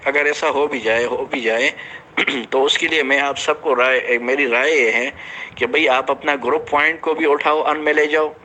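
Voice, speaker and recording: A man's voice: medium pitch, bright, forceful tone, a little hiss or hum, low-quality recording. A man giving an informal voice-message announcement to his team, explaining things and repeating phrases for emphasis. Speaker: team leader of an online investment scheme.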